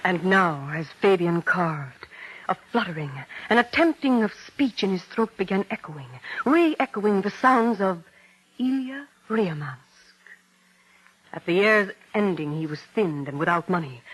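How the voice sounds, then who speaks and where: A woman narrating a scripted vintage radio drama in a measured, suspenseful, literary manner. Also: medium pitch, a bit bright, low-quality recording. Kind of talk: dramatic scripted radio storytelling, 1940s-50s. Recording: old radio broadcast, music behind.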